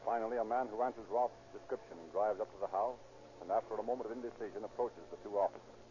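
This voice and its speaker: Casting: male narrator for vintage radio police play, fast pace, mellow tone. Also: on the low side, fairly even intonation. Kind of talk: 1930s radio drama scripted narration. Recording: muffled noisy 1930s radio recording.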